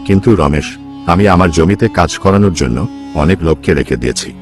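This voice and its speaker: A man's voice: deep voice, expressive, fast talker, faint music behind, pitched below the performer's own voice. A man voicing a stingy, scheming village headman in a cartoon story, with a curt, condescending manner. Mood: dismissive. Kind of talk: Bengali cartoon story dialogue.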